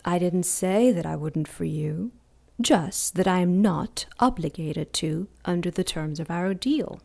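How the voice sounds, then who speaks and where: Ancient vicious demoness with a gravelly, unhurried menace, played by a woman for audio drama. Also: fairly low pitch, a little mellow. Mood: taunting. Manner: slow, mocking, menacing purr. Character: ancient cruel female demon, gleefully vicious.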